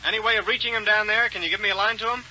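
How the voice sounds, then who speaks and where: A heroic space captain with a very sharp, high-pitched, quick delivery. He is decisive and urgent in old radio-serial style. The recording is dull and hissy. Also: steady tone. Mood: brisk, puzzled. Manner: businesslike, urgent. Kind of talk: 1930s radio serial acting.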